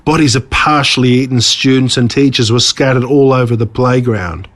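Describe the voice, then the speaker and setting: Aussie guy reading a story out loud, medium pitch, measured dramatic storyteller delivery. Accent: Australian. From Australia. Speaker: author reading own story. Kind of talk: scripted story narration.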